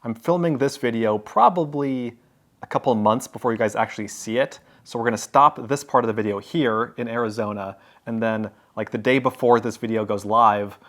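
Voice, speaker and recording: A man's voice: fairly low pitch, fairly bright tone. A man vlogging to camera, telling a story in a casual, rambling way, full of fillers and restarts. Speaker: travel vlogger.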